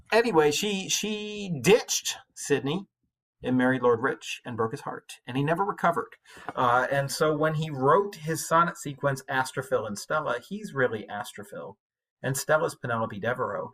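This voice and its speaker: Guy teacher doing a laid-back class lecture, bright voice, chatty and explainy. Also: mid-range voice, lively intonation, normal speed, slightly rough. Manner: conversational, explanatory, colloquial. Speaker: high school English teacher. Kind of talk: informal recorded lecture.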